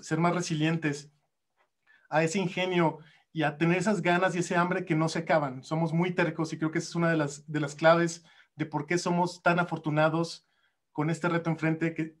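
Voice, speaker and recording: A man in his thirties giving a rousing closing speech on a video call and challenging his audience. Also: fairly high pitch. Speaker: event host. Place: home room on a video call.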